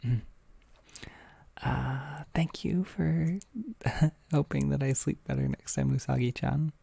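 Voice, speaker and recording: An American man hosting a casual livestream, talking softly and hesitantly into a close microphone, a little short on sleep. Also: mid-range voice, fairly even intonation, slow, vocal fry, husky, no echo. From United States. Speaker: livestream host.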